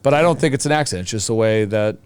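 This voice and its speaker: A man's voice: lowish voice, lively intonation, quick pace, smooth, no room sound. A man in his forties with a Boston accent, chatting casually as an interview guest, colloquial and bantering, repeating phrases for emphasis. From Boston, USA. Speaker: teacher and hockey coach, podcast guest.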